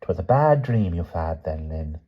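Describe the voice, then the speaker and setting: A man's voice: low-pitched, animated, unhurried, lo-fi sound. Man voicing a stern scolding old woman. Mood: cool, probing.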